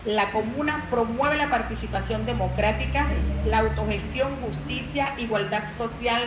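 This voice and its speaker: A woman's voice: a little high, normal speed. A woman giving a public presentation, setting out points of a law. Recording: echoey room with clear background noise.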